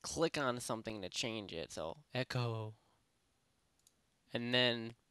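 A young man casually talking through a software tutorial at his home computer, rambling with lots of fillers and restarts. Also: mid-range voice, takes it slowly, clear, resonant tone, no echo. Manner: rambling, many fillers and self-corrections. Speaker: tutorial host. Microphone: desktop microphone at a PC.

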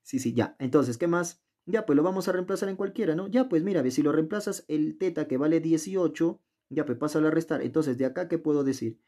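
A man's voice: on the high side, a little fast, hardly any pauses, mellow. A man tutoring geometry in a casual, chatty way, often asking the viewer questions and talking himself through the steps. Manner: chatty, asks the viewer questions, talks to himself while solving. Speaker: math tutor. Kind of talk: casual worked-problem lesson. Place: indoors at a desk, filming the worksheet from above.

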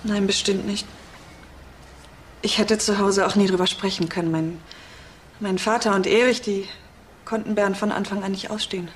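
A woman's voice: mid-range voice, steady tone, moderate pace, pauses often, lightly acted. Woman acting naive defensive young pharmacy girl, crime audio drama, bit of noise. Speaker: actress in a crime radio play.

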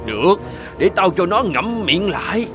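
A man's voice: sits high, sing-song, measured pace, music in the background. A man voicing a mocking, leering kidnapper thug with a drawling delivery in a children's cartoon. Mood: mocking, menacing. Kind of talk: exaggerated cartoon villain acting.

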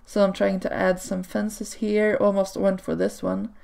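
A woman whose first language is Swedish, chatting casually over gameplay footage in a relaxed way, with frequent ums and small laughs. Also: medium pitch, measured pace, gravelly. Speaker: video creator. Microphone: home desk microphone.

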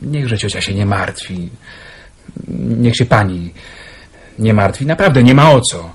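An actor playing an earnest wartime suitor in a radio drama, hesitant and husky. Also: male voice, on the low side, expressive, unhurried, exaggerated voice. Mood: reassuring. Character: earnest, teasing man in love, wartime suitor.

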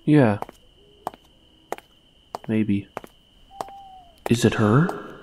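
A man's voice: on the low side, very slow, darkish tone, slight background noise. A young man voicing an anxious, earnest young man in a comic dub, hesitant and doubtful in dramatic dialogue. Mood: guarded, then brooding. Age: young adult. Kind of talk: dramatic dialogue and inner narration. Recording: music behind the voice.

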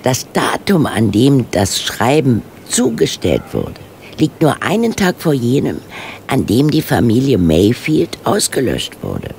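An actress playing a resolute, proper elderly lady detective in a radio play, with a low, gravelly voice and a stern, composed, formal manner. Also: huge pitch swings, unhurried, a little mellow, full character voice. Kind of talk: German radio play acting. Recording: reverberant hall, faint background noise.

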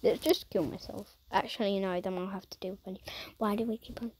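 A woman giving playful, dramatic commentary over a video game, her voice croaky and strained. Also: medium pitch, fairly even intonation, a little fast. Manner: dramatic, silly, swings between shouting and whispering. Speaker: gaming YouTuber.

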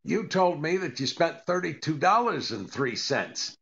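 An older man playing a dry, put-upon comedian husband in a 1940s radio comedy, deadpan and hesitant, with a gravelly voice. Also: on the high side, measured pace, lo-fi sound, heavily acted. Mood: dry, patient.

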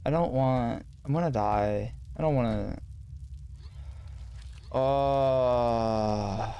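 A man's voice: mid-range voice, extremely slow, warm tone, a little hiss or hum. A man giving casual gameplay commentary as a streamer, muttering to himself in slangy, sweary talk. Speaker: streamer playing a video game.

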